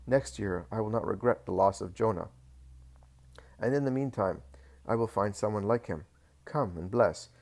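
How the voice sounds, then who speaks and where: A man voicing a greedy, proud rich landowner with a squeaky, fox-like voice, pleading and boasting. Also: a little mellow.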